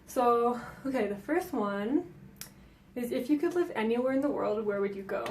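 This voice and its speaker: A woman's voice: fairly high pitch, lively intonation, moderate pace, a little mellow, a little hiss or hum. Chatty young woman vlogger, echoey room. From Denmark. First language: Danish. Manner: chatty, frequent ums and likes. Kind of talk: casual Q&A vlog.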